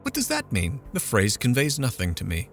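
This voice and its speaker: A man's voice: medium pitch, wildly swooping pitch, normal speed, soft background music, pitched above the performer's own voice. Placid female friend, lifted voice, mildly puzzled; a male narrator voices her. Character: puzzled, bland woman friend.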